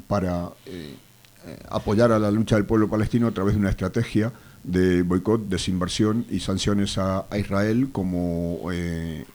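A man from Argentina with an Argentine accent, a guest on a radio show, speaking with a cold in a measured, hesitant way, full of fillers. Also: sits low, fairly even intonation, darkish tone. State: has a cold. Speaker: activist guest. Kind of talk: radio interview. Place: radio studio.

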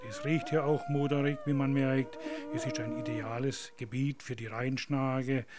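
A man who guides listeners outdoors by the river, explaining calmly in a field recording. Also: mid-range voice, a little slow. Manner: calm, explanatory. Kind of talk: field reportage.

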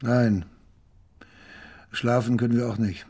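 An actor playing a brooding, bookish man with a husky, rough voice in a radio crime drama. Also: medium pitch, steady tone, slow, a little mellow. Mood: pensive.

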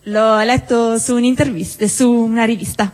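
A woman acting in a live stage dialogue, chatty and teasing, sometimes bursting into excited shrieks. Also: fairly high pitch, normal speed, big-room sound. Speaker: stage actor. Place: theatre stage.

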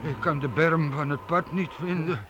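An actor playing a worried former castle gatekeeper, humble and hesitant, in a radio play. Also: male voice, fairly high pitch, fairly even intonation, normal speed, lo-fi sound, natural voice. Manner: hesitant, deferential, repeating words. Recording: noisy old radio play recording.

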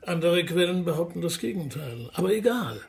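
An actor playing a matter-of-fact journalist questioning a witness in a radio drama, with a creaky voice and a dry manner. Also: male voice, a little high, very animated, brisk. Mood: dry, inquiring. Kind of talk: radio drama interview dialogue.

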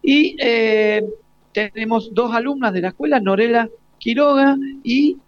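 High-voiced Argentine man hosting radio. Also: unhurried, no room sound. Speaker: radio host. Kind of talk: informal live interview. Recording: slightly dull, lo-fi live radio.

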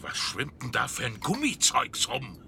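An actor playing a hot-tempered, coarse sailor, grumbling indignantly in a radio play. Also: male voice, medium pitch, huge pitch swings, unhurried, vocal fry, sharp tone, slight background noise, exaggerated voice.

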